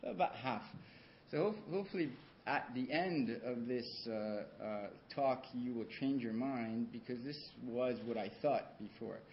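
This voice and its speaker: A man's voice: medium pitch, unhurried. A man from Switzerland, a surgeon giving a conversational conference talk with slides, with many fillers and restarts. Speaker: orthopedic surgeon. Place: large conference hall. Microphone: podium microphone. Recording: dull hall recording, faint hiss.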